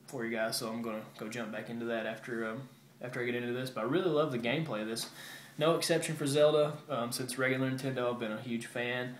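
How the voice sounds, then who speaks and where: A man giving a casual, halting and rambling show-and-tell update to his viewers, like a hobby video game vlogger. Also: mid-range voice. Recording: roomy echo with background hiss.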